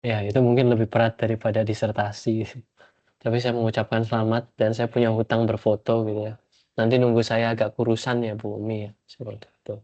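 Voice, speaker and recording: A man's voice: fairly low pitch, level tone, fairly quick, warm tone. A man with a stuffy nose from a cold, a professor giving rambling congratulatory remarks in an online meeting, full of tag fillers. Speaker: professor and supervisor. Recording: dull lo-fi call audio.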